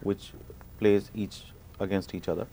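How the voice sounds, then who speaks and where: Low-voiced man hosting a sports interview. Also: little pitch movement, measured pace, mellow, slight background noise, poor audio. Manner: asks questions and explains patiently. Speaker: show host.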